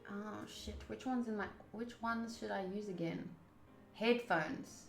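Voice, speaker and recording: A woman's voice: mid-range voice, measured pace, gravelly, roomy sound, soft background music. A woman hosting a game live stream, chatting casually in a playful, teasing and informal way. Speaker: live streamer hosting a visual novel playthrough. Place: indoors, home room.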